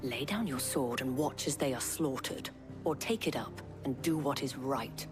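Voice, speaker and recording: Game role: commanding woman guarding her people, deep female voice, firm speeches, score underneath. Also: normal speed. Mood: stern, rallying. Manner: firm, stern, oratorical. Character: commanding, righteous lady protector of townsfolk. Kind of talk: dramatic game cutscene acting. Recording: music behind the voice.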